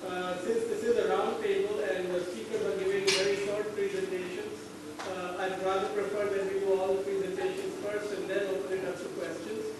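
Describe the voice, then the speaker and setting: A man's voice: sits high, level tone, brisk. A man moderating a round table in a polite, formal way, recorded at a distance in an echoey, noisy room. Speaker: session moderator.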